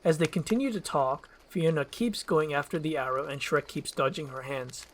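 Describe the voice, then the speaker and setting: A woman's voice: low-pitched, normal speed, a little hiss or hum. A woman reading scripted stage directions as a narrator. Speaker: narrator and voice actor.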